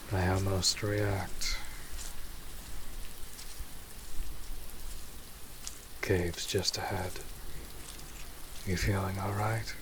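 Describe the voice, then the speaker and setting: A man playing a stoic but gentle young monster hunter in a roleplay audio drama, terse, measured and soft-spoken. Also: sits low. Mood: matter-of-fact, reassuring. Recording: steady rain noise throughout. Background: rain and cave ambience.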